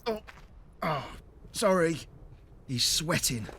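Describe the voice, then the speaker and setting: An actor playing a nervous, doubtful underling looter in a horror audio drama, hesitant and stammering. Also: male voice, a little high, lively intonation, slow pace, heavy background noise.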